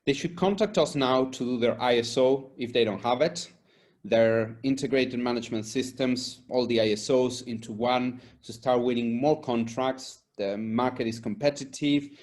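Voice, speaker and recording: A man's voice: medium pitch, normal speed, a little mellow. Earnest man being interviewed. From Argentina and Spain. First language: Spanish. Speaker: environmental and safety consultant being interviewed. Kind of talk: conversational interview answers.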